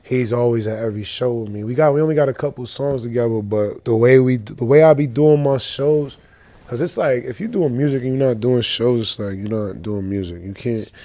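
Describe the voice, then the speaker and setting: A young man from Boston who raps, chatting through an interview at a middle pitch. His voice is dark and mellow. He rambles loosely, full of slang and fillers. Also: fairly quick. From Boston, Massachusetts. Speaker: rapper, guest. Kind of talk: casual interview chat.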